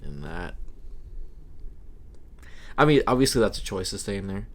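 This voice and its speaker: A young man, a podcast co-host, talking in a slow, halting and reflective way. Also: sits low, big pitch swings. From Hawaii. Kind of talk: casual podcast chat.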